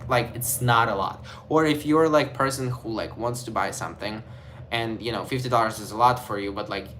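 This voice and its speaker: A man's voice: mid-range voice, a bit bright, a little hiss or hum. A young man from Ukraine with a Ukrainian accent, chatting casually to camera in a vlog, rambling and filling his talk with "like" and "you know". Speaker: vlogger.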